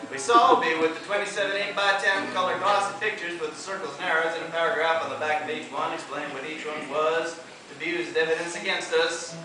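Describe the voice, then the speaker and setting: A young man spins a comic tale in a relaxed, deadpan drawl, reeling off long lists as he strums an acoustic guitar. His voice sits high and carries through a live theater. The sound is distant and roomy, caught by a camera far from the stage. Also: quick pace, few pauses. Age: young adult. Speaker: student performer. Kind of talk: talking-blues storytelling over guitar.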